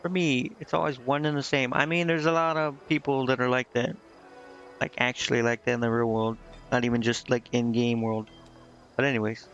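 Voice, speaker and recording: Man, mid-pitched, casual game streamer, hesitant reader, slightly dull audio, music behind. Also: level tone, brisk, a bit bright. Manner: hesitant, stumbles over words while reading. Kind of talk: casual livestream commentary, reading game dialogue aloud.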